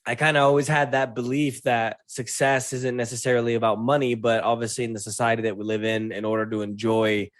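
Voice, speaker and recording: Late 30s guy from venezuela on a podcast, telling stories casually, medium pitch, really dry close sound. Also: little pitch movement, fairly quick, hardly any pauses, dry recording. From born in Venezuela, considers himself American. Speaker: podcast guest, trader. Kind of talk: casual conversational storytelling.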